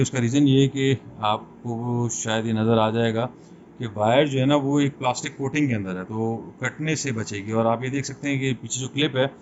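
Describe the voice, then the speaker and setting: Man, medium pitch, conversational reviewer, explanatory, English terms mixed in, dull recording with faint noise. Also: darkish tone. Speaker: product reviewer, host. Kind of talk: unboxing and review talk, explanatory.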